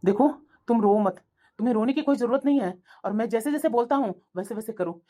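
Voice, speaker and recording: A woman's voice: a little high, a little fast, warm tone, natural voice. A woman playing a supportive, resolute young husband in a family melodrama, speaking earnestly and reassuringly. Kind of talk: melodramatic family story dialogue.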